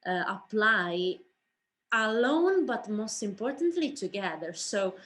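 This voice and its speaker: A woman speaking earnestly and haltingly in a personal reflection for an online panel. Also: medium pitch, very animated, a bit bright. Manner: earnest, halting, searching for words.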